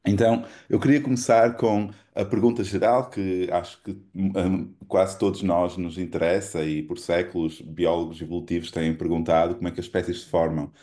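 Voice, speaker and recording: A Portuguese man with a European Portuguese accent delivers an academic lecture. His voice sits very low and sounds dark and mellow. He explains step by step, often pausing on fillers and restarting his sentences. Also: flat delivery. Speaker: guest lecturer.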